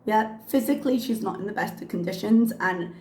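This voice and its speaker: Chatty commentary host in an echoey room. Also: female voice, medium pitch, a little fast, a little mellow. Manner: chatty, frank, with frequent ums and uhs. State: slightly losing her voice from a cough. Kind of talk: casual reaction commentary. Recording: echoey room with faint ambience.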